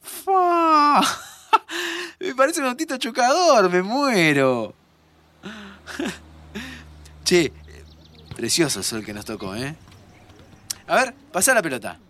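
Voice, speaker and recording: An actor with an Argentine accent playing a playful, affectionate and curious friend in an audio drama, chatty and excitable. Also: male voice, very high voice, slow, long pauses, a little hiss or hum, heavily acted. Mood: amazed, delighted. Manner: excitable, chatty, stammering repeats. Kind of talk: naturalistic audio fiction acting. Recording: music under the voice.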